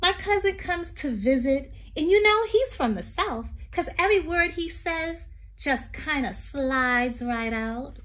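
Slow, high-voiced woman reading to children. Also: animated, a bit bright. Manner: sing-song, drawn-out emphasis with chatty asides. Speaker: teacher reading to her class. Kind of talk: expressive picture-book read-aloud of a poem for young children. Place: small room indoors. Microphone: phone at arm's length.

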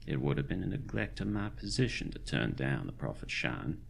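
Man playing a very deep, gravelly pompous doctor. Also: very animated, normal speed, a little hiss or hum, exaggerated voice. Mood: self-satisfied, wry. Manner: florid, pompous, old-fashioned, wry. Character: pompous, wry male country doctor of 1919 Mississippi. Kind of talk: scripted audio diary narration in horror drama.

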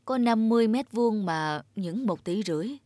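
A woman's voice: medium pitch, expressive, moderate pace, mellow, no room sound, lightly acted. A woman voicing a humble, anxious elderly mother from the countryside in a cartoon. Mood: worried, hesitant. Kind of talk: cartoon dubbing.

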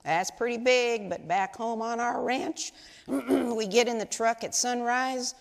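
A woman's voice: on the high side, gravelly. A woman putting on a bragging Texas rancher. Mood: boastful. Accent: put-on Texan. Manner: boastful, drawn-out storytelling. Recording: long hall reverb in sanctuary.

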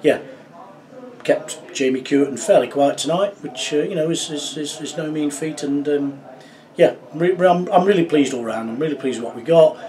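Middle-aged football manager, slightly distant. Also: male voice, on the high side, dark tone. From England. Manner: measured, reflective, many fillers and restarts. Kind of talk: post-match interview. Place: small club office indoors.

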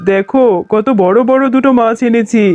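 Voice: mid-range voice, normal speed, darkish tone, natural voice. An actor voicing a poor, doting village father fox in a children's cartoon. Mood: fond, eager. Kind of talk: Bengali children's cartoon dialogue. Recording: muffled, narrow-band sound with music.

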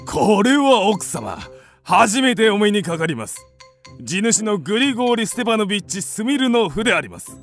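A blustering, pompous male landowner in a radio drama. His voice rides high and swoops widely, with a gravelly creak. He is short-tempered and scornful, formal one moment and explosive the next. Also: very animated, normal speed, a bit bright, soft background music, exaggerated voice. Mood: stiffly formal.

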